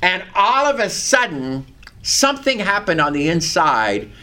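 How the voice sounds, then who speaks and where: An older man preaching with a loud voice that carries and a lively, swooping delivery. He talks slowly and deliberately, moving between scripture readings and personal stories. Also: high-pitched. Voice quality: loud, carrying voice. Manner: animated storytelling, reads scripture aloud. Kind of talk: sermon with personal testimony.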